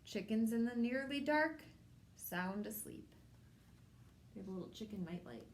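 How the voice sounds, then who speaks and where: A woman hosting a children's storytime, reading a picture book aloud in simple, measured phrases addressed to young children. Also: fairly high pitch, animated, a little hiss or hum. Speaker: children's storytime host at a public library.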